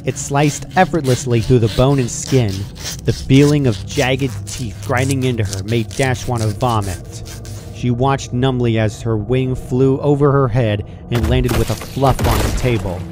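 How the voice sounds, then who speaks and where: A man's voice: mid-range voice, a little slow. A man reading a scripted story aloud as its narrator. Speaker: fan fiction narrator. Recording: clearly noisy home recording.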